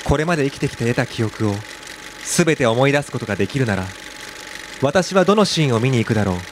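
Wistful monologue narrator, played by a man: earnest, brisk, mid-range, over noisy ambience. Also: mid-range voice, expressive, lightly acted. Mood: wistful reflective. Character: wistful male narrator reflecting on memories. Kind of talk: scripted radio drama monologue. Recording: clear engine-like ambient noise.

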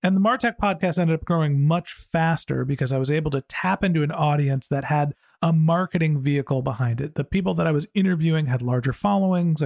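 Man, podcast host, conversational, informal, gravelly edge, dry close recording. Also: on the high side, a little mellow, dry recording. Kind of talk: casual conversational podcast interview.

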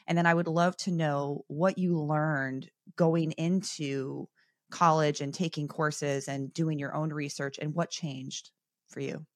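A woman with a very low voice hosts an interview. She asks questions in a curious, conversational way, and her recording sounds dry and close. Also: steady tone, takes it slowly, dry recording. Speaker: podcast host. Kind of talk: podcast interview.

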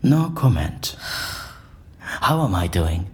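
An actor playing an eccentric, unflappable surgeon in an audio drama, with a formal, theatrical and dryly dismissive manner. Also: male voice, sits low, big pitch swings, extremely slow, exaggerated voice. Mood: stubborn, dismissive.

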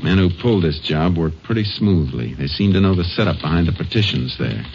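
A deep-voiced American Texas Ranger from a 1950s radio crime show. He is calm and clipped, questioning and narrating matter-of-factly. The old recording is muffled and clearly noisy. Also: fairly quick. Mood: businesslike, probing.

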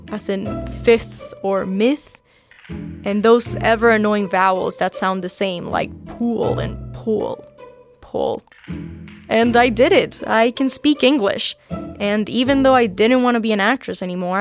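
Creaky-voiced woman, slight Panamanian Spanish accent. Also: medium pitch. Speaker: journalist, narrator. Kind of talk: personal scripted narration.

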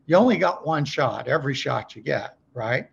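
A man's voice: medium pitch, expressive, normal speed, creaky, slightly rough, highs cut off. A man giving conversational coaching as a podcast guest, speaking emphatically and checking in with short rhetorical questions. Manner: emphatic, asks rhetorical check questions, acts out sample calls. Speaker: podcast guest, car dealership service trainer.

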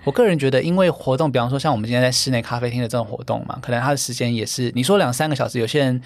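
A young man, chatting as a podcast guest and explaining things affably with frequent quick agreeing asides. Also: medium pitch, dry recording. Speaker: podcast guest, event organizer. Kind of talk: casual interview chat.

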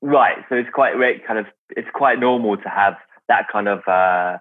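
A man casually asking interview questions in a halting way, often rephrasing, over a tinny call. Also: lowish voice. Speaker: podcast interviewer.

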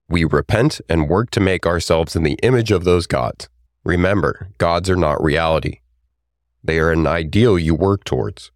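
A man hosting a solo podcast, reading his own essay in an earnest, reflective way. His voice is raspy and hoarse as he gets over an illness. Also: deep voice, dry recording, clean studio sound. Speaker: podcast host.

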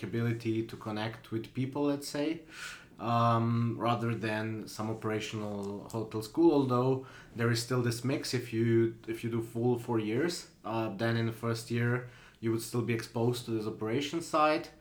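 A man's voice: mid-range voice, roomy sound. A man in his twenties from Slovenia, a student guest on a podcast, talking casually and hesitantly with many fillers. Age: 20s.

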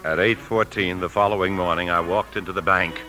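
An American actor playing a weary, guilt-ridden husband and bank man, narrating gravely in a 1950s radio drama. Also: low-pitched, steady tone, brisk, close to an everyday voice. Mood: grave, confessional. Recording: 1950s radio broadcast with music.

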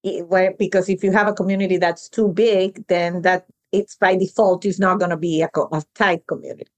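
Thoughtful woman host, lower voice. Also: steady tone. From Venezuela. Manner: thoughtful, halting, repeats words as she thinks. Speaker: podcast host. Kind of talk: podcast interview conversation.

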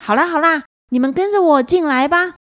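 A woman's voice: high voice, sing-song, measured pace, no room sound, clean studio sound. A woman voicing a cocky young clouded leopard cub, boastful and teasing, in a children's story. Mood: sheepish, breezy.

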